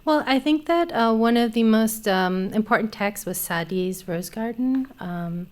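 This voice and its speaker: A woman in her thirties, a poet from Afghanistan, reading poems and then speaking thoughtfully, with many pauses, into a studio mic on a small stage. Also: on the high side, lively intonation, darkish tone. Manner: thoughtful, hesitant with many fillers. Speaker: poet and literature teacher. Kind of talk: poetry reading and panel conversation. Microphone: studio mic on a stand.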